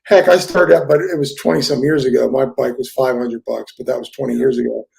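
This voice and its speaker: A man's voice: mid-range voice, fairly even intonation, brisk, creaky, darkish tone. A man hosting a casual interview over a video call, talking eagerly with stammered restarts, his audio scratchy. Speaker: host.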